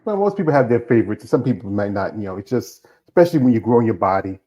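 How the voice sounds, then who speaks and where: A man's voice: on the low side, sing-song, racing, warm tone. A man hosting a casual interview who asks rambling, enthusiastic questions. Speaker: interview host. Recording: slightly dull, lo-fi audio.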